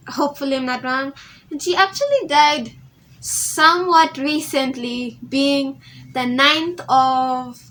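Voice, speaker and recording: A woman's voice: high voice, a bit bright, poor audio. A teenage girl talking casually to her viewers, rambling and often restarting her sentences. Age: teens. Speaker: vlogger. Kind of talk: casual vlog tribute.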